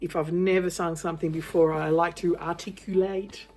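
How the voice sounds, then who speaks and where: A woman with a low voice giving an informal, encouraging beginner lesson as a guitar and singing teacher. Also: little pitch movement, moderate pace, darkish tone. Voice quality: low female voice. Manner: encouraging, reassuring. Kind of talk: informal instructional demonstration for a beginner. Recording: acoustic guitar sounding alongside the voice. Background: acoustic guitar being strummed.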